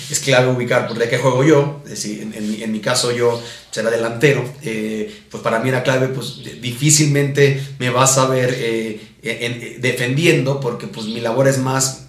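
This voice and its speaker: A man with a Mexican accent teaching a sermon in a didactic way, repeating his points and checking in with rhetorical questions. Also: mid-range voice. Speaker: pastor teaching at a missions conference. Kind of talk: teaching sermon with Bible readings. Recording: roomy, echoing sound.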